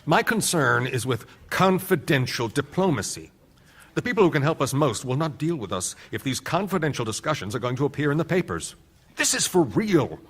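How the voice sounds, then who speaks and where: An actor playing a defensive government official testifying on the stand in a courtroom radio drama. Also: male voice, mid-range voice, a bit bright, breathy edge. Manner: defensive, evasive. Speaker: government witness.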